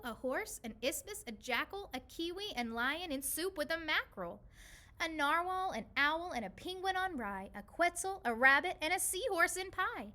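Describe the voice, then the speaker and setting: A woman reading a children's storybook aloud with an expressive, rhythmic delivery. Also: sits high.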